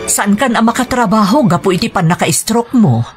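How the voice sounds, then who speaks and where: A woman playing a careworn, pleading mother in a radio drama, with a husky voice and an Ilocano accent. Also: medium pitch, animated, a little fast, natural voice. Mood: worried, resolute. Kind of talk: Filipino radio drama acting. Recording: music bed under dialogue. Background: music.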